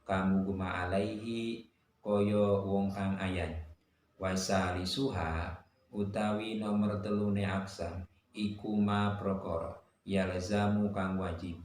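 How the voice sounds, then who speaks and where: A man teaching a religious lesson. He recites Arabic phrases from a classical text and glosses each word in a steady, measured cadence. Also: low-pitched. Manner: poses and answers his own questions. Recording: very echoey room, faint noise.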